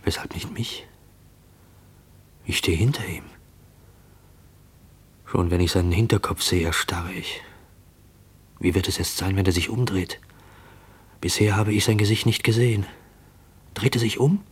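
Fearful shadower for radio drama: deep, rough-edged, tense and searching inner monologue. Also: male voice, full character voice. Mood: dread, suspense. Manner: tense, hushed, questioning, deliberate. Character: fearful man shadowing a mysterious stranger.

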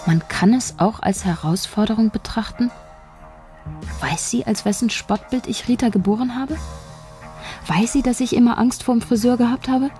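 A woman's voice: a little high, moderate pace, warm tone, soft background music, natural voice. An actress playing a shy, resentful young scriptwriter who narrates her own thoughts with sardonic bite in a radio play. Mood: wry. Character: shy, bitter young woman scriptwriter, inner monologue. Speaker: narrator and protagonist.

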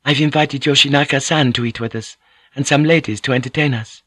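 Guy doing a soft polite noblewoman, light and teasing, dry close sound. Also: mid-range voice, fairly even intonation, a little fast, slightly rough, dry recording, voice pushed up. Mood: coaxing. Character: Japanese noblewoman, gentle, teasing, courteous. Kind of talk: audiobook character voice.

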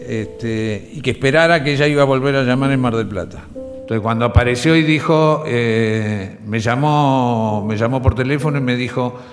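An Argentine man in middle age gives spontaneous testimony in an average-pitched voice. He speaks haltingly and circles back over phrases, with music underneath. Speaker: interviewee, relative of a disappeared woman. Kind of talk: spontaneous recollection. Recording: music bed under interview.

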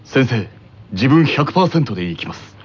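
A man's voice: mid-range voice, sing-song, fairly quick, slightly rough, lo-fi sound. A man chatting casually as a guest on a radio show.

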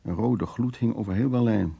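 A blunt, worldly storyteller in a radio play. His low, gravelly, husky voice carries an earthy and wry monologue about his own past. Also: normal speed, mellow, full character voice. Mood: earthy, confessional. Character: worldly, blunt man recounting wartime escapades in Berlin.

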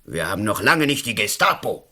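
An actor playing an overbearing, smug lawyer and politician in a radio play, pompous, blustering and condescending. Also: male voice, lowish voice, sing-song, a little fast, sharp tone, exaggerated voice.

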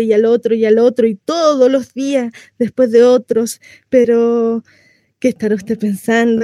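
A woman's voice: fairly high pitch, dark tone, no room sound, clean studio sound, lightly acted. A woman acting a naive, chatty housewife shut in at home, confiding in a neighbour in a rambling radio monologue. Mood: wistful, confiding. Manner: confiding, rambling, conversational storytelling with rhetorical questions.

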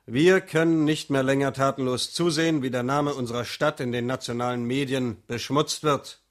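A man's voice: mid-range voice, fairly even intonation, moderate pace, natural voice. An actor playing a formal civic chairman addressing a meeting in a radio play, speaking in an oratorical manner. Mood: stern, formal.

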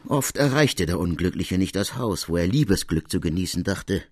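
A man reading a classic literary tale as narrator, in measured, formal storytelling prose. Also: deep voice, expressive, darkish tone, slightly rough, no room sound, clean studio sound.

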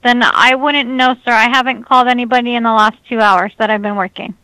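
A woman's voice: a little high, fairly even intonation, a little fast, pushed and bright, dry recording, rough recording. A woman answering calls for a tow company, polite and patient.

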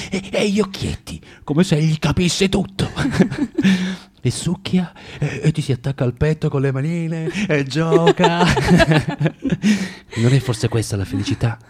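An actor in an Italian radio drama playing a bitter, self-loathing man who lectures a young woman haltingly and theatrically, swinging from tender to vehement. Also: on the high side, a little mellow, husky. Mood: tender, rapt.

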